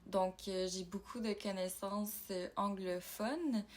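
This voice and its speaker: A woman from Ontario, talking in a casual personal vlog, reflective and halting as she thinks aloud. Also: mid-range voice, steady tone. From Ontario, Canada. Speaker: YouTuber.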